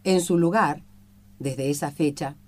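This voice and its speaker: A woman's voice: sits low, unhurried. Middle-aged argentine lady hosting a radio interview show, talks conversationally. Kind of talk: conversational interviewing and reading aloud.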